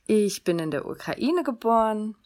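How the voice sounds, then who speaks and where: A woman in her thirties from Germany hosting a solo podcast, talking in an earnest, gentle way with the odd um. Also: medium pitch, expressive, unhurried. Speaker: podcast host.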